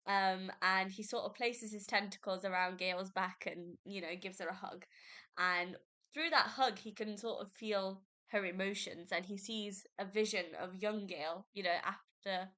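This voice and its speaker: A woman's voice: mid-range voice, fairly even intonation, normal speed, bright, forceful tone. Congested young woman podcasting. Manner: chatty, rambling, with fillers and self-corrections. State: congested from a cold. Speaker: podcast host. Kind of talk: casual book discussion.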